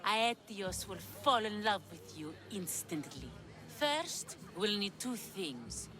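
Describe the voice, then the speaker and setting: Sly, slow-talking witch. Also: medium pitch, sing-song, sharp tone, faint music behind, a faint crowd, full character voice. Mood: coaxing, sly. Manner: deliberate, theatrical, coaxing. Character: sly witch of Hecate peddling potions, slow, sultry. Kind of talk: dramatic video game acting.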